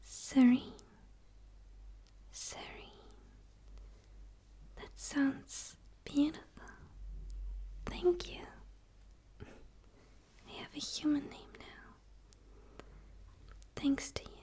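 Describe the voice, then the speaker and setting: Woman playing a whispering, curious alien creature. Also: sits high. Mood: hopeful, friendly. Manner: slow, soothing, hushed delivery with pauses. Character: gentle, curious dark female forest alien. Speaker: ASMR roleplay performer. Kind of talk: whispered ASMR roleplay.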